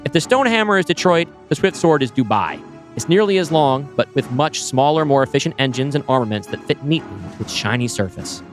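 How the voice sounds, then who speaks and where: A man's voice: mid-range voice, expressive, fairly quick, soft background music. A man reading a screenplay's scene directions aloud, with a wry, descriptive manner. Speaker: podcast co-host.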